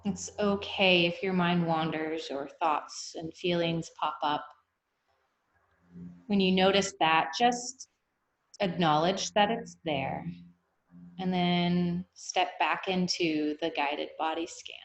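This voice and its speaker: A woman guiding a meditation, speaking in an unhurried, gently instructive way with long pauses. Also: on the low side, steady tone. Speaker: meditation guide. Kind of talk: guided meditation, body scan. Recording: echoey room.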